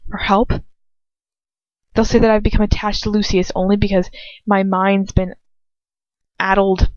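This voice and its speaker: A woman's voice: medium pitch, level tone, unhurried, no echo, lo-fi sound. A woman reading first-person fiction aloud as an amateur narrator, in a dramatic style. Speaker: amateur audiobook reader.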